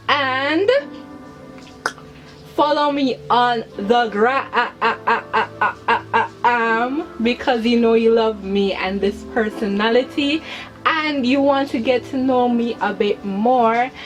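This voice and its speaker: Hyper, slow-paced young woman vlogger. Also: fairly high pitch, poor audio. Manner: hyper, giggly, emphatic repetition of words. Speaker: video channel host. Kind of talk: chatty personal commentary to viewers.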